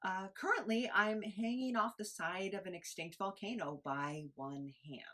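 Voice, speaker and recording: A woman's voice: mid-range voice, moderate pace, fairly bright tone, voice pushed up, close to an everyday voice. A woman voicing a whiny, sarcastic immortal trainee in a comic sci-fi audio drama, rambling and protesting. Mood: wry, rambling. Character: Napoleon, exasperated, whiny immortal trainee adventurer.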